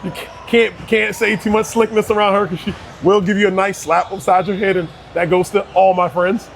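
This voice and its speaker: Earnest high-voiced man from Barbados. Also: fairly even intonation, fairly quick, creaky, a little hiss or hum. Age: 30s. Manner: earnest, repeats and restarts words. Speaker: youth basketball coach. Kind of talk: casual interview conversation.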